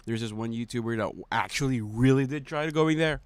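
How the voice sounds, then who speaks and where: A man's voice: mid-range voice, fairly quick, smooth, dry recording. A man giving casual, off-the-cuff commentary as a reaction video host, slangy and prone to casual swearing.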